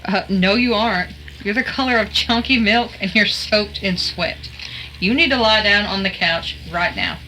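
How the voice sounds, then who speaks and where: A woman's voice: medium pitch, moderate pace, bright, forceful tone, slight background noise, close to an everyday voice, rough recording. A woman playing a bossy young student in an audio drama, with a dry, sarcastic, blunt delivery.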